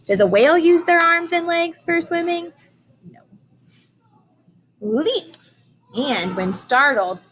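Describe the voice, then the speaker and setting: A woman's voice: medium pitch, a bit bright, slight background noise, low-quality recording. A woman teacher reading aloud to young children, explaining and asking questions in simple, emphatic language.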